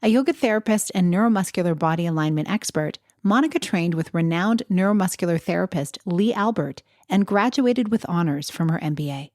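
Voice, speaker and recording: A woman's voice: fairly low pitch, a little fast, few pauses, darkish tone, no room sound, clean studio sound. A woman hosting a wellness podcast, reading her intro and asking interview questions in an upbeat, encouraging way. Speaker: podcast host and health coach.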